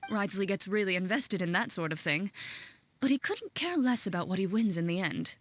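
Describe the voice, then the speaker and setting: A woman's voice: mid-range voice, lively intonation, normal speed, slight background noise, natural voice. A woman playing a composed, matter-of-fact young woman with a dry wit in a video game. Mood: wry, amused. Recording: faint background music.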